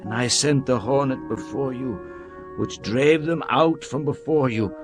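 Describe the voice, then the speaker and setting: A man's voice: mid-range voice, unhurried, lightly acted. A man playing Joshua, a leader solemnly addressing his people in a dramatized scripture reading, with music playing behind. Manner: solemn, commanding oratory.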